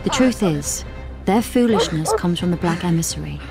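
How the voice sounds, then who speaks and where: A woman playing a grave, wise rabbit storyteller in a radio play, measured and solemn. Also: fairly low pitch, expressive, gravelly. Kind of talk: radio drama narration. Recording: loud music under voice.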